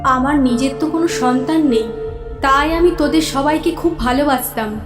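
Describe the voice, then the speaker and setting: High, echoing ghost aunt acted by a woman. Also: moderate pace, natural voice. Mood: mournful, vengeful. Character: loving, vengeful ghost of a dead aunt. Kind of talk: cartoon horror story dialogue. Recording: echoing voice over music.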